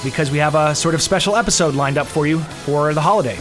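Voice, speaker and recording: A man's voice: on the high side, quick pace, music in the background. A man co-hosting a podcast in a casual, conversational style. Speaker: podcast co-host.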